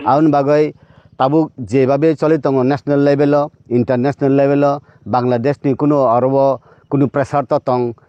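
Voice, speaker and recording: Slow, halting man on dull lo-fi audio. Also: medium pitch, flat delivery, dry recording. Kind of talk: spoken commentary on local affairs.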